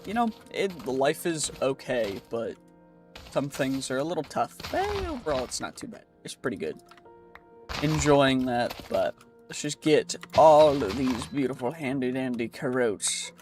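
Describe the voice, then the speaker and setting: A man's voice: mid-range voice, sing-song, a little slow, music in the background. Congested young man streaming a game. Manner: easygoing, thinking aloud, self-reassuring. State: congested from a cold. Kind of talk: casual gameplay commentary.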